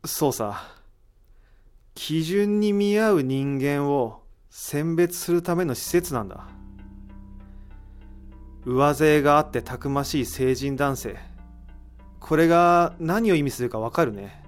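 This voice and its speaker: A man's voice: a little high, animated, slow, pauses often, clean tone, faint music behind. A man acting in an audio drama as an analytical figure who reasons out a mystery in explanatory speech. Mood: grave, revealing. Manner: explanatory, rhetorical questioning. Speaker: voice actor in an amateur audio drama. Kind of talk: dramatic dialogue, explaining deductions.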